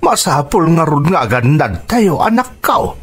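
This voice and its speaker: An actor playing an anxious, elderly father with a husky voice in an Ilocano radio drama. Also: fairly high pitch, big pitch swings, unhurried, soft background music, heavily acted. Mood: worried.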